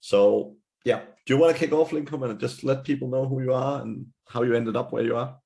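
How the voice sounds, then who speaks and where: A man's voice: medium pitch, fairly even intonation, a little fast, gravelly, dark tone. A man hosting a management podcast, greeting his guest in a friendly way and often repeating his phrases. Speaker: podcast host. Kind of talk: casual interview hosting.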